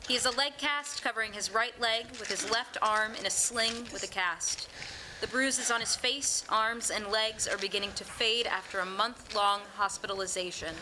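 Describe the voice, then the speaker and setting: A woman's voice: a little high, normal speed. A woman narrating scripted stage directions at a staged reading. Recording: noisy live staged reading.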